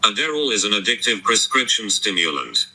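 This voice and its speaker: Harsh, bright robotic male voice. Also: fairly low pitch, very animated, a little fast, husky, rough recording. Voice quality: synthetic, robotic. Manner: blunt, clipped, insistent. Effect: synthetic robot voice. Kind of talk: confrontational phone call through robot voice.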